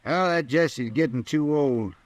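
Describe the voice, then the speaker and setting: An actor playing a talkative, domineering cowboy ranch hand with folksy rural speech in an old-time radio Western. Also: male voice, fairly high pitch, measured pace, muffled recording, natural voice. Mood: grumbling.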